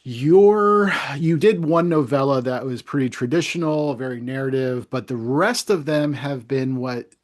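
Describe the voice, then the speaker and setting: A man's voice: medium pitch, unhurried, hardly any pauses. Casual, chatty male interview host.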